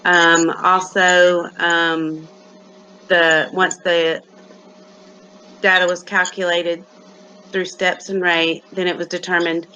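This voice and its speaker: A young woman from Alabama, a student giving a class presentation with a halting delivery and many "um" pauses. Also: on the low side, little pitch movement, bright, forceful tone, lo-fi sound, a little hiss or hum. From Athens, Alabama, USA. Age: young adult.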